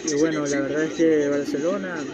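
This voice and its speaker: Brief young Ecuadorian fan, chatty match reaction. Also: male voice, a little high, steady tone, fairly quick. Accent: Ecuadorian Spanish. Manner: chatty, slangy, addresses viewers as pals. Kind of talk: casual live match reaction. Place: small room indoors.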